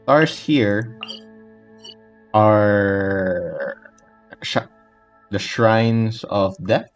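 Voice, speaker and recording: Low-voiced, rambling male gamer. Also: extremely slow, lo-fi sound. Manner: rambling, hesitant, easily distracted. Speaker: gaming video creator. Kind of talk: casual, unscripted gameplay commentary. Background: faint game music.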